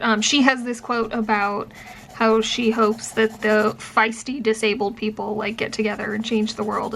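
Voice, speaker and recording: A young adult woman chats to camera in an easy, rambling way, leaning on fillers and quick restarts. Her voice sits a touch high. A faint noise runs under the recording. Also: vocal fry, fairly bright tone. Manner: chatty, many fillers and restarts. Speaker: vlogger and professor. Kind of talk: casual chat.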